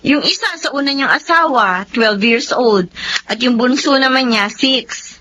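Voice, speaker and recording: A woman's voice: sits high, unhurried, sharp tone. A woman playing a shy, modest, dutiful young woman in a Filipino radio drama, with a Filipino accent. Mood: everyday, mildly exasperated. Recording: dull, slightly noisy lo-fi recording.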